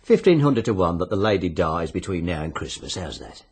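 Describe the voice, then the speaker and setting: Genial but sinister British fixer, low husky voice, swooping intonation, insinuating radio drama delivery. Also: male voice, very animated, fairly quick, full character voice. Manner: insinuating, euphemistic, coolly businesslike. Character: sly, genial bookmaker-like fixer arranging deaths by wager.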